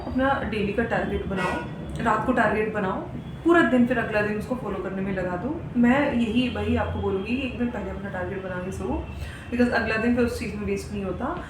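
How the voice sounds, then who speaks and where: A young woman giving informal, insistent study advice to students as an exam mentor, mixing English words into her talk. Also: fairly high pitch. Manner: instructive, insistent, Hindi mixed with English words. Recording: echoey room with clear background noise.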